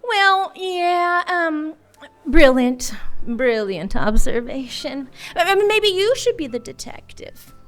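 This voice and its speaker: A woman playing a witty, flirtatious, slightly tipsy private detective in a noir radio play, with a teasing, halting manner. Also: high-pitched, sing-song, fairly bright tone, exaggerated voice. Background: music.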